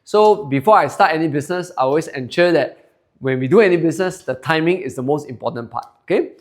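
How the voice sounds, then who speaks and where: A man's voice: on the high side, expressive, fast. A man in his forties with a Singaporean English accent, giving a chatty sales presentation to a room of listeners and polling them with questions. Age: 40s. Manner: chatty, polls the crowd and tags lines with "okay?". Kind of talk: sales presentation to a live audience. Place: seminar room with an audience.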